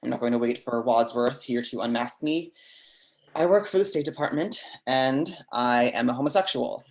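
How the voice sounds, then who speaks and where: A man playing a timid, earnest government employee with a halting manner in a script table read. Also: medium pitch, close to an everyday voice. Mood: nervous, earnest.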